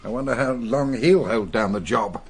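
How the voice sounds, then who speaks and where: An actor playing a blunt, skeptical police superintendent in a radio drama, with a dry, teasing way of talking. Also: male voice, medium pitch, normal speed, breathy edge. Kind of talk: 1960s radio drama acting.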